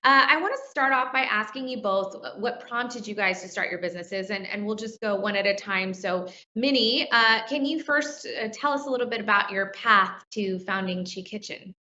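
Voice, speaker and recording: A woman's voice: mid-range voice, moderate pace, hardly any pauses, pushed and bright. A woman, a technology reporter, hosting and moderating a live interview, friendly and a little flustered at first. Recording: lo-fi with a roomy echo.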